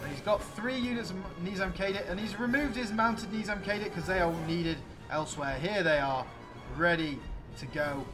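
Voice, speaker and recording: A man giving excited, exclamatory play-by-play commentary on a video game battle, addressing his viewers. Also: high voice, moderate pace, over music.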